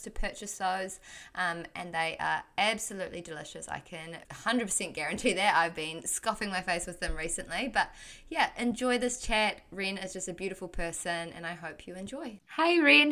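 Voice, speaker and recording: A woman's voice: lowish voice, sharp tone. A woman hosting a podcast, upbeat and chatty with frequent fillers. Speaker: podcast host. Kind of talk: casual podcast chat.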